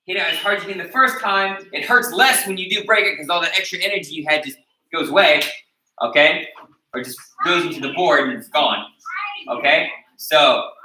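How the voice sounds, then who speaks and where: A high, very bright American male voice leads a martial arts class, questioning students and checking that each point landed. He is picked up from a distance in an echoey training hall. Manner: instructive, checks in with "okay?" after each point. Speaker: taekwondo instructor. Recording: echoey room recorded from a distance.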